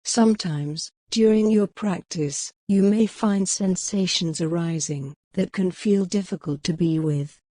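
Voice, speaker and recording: A woman leading a guided mindfulness meditation in a gentle, soothing, measured way with long pauses. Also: lowish voice, no room sound, studio quality.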